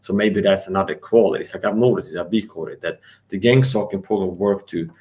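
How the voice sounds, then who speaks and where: A man's voice: low voice, a little fast, a little mellow. A man ranting angrily on a livestream, defiant and profane, talking straight at his listeners. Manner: angry, defiant, profane, repetitive; addresses listeners directly and challenges them. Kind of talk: ranting live monologue.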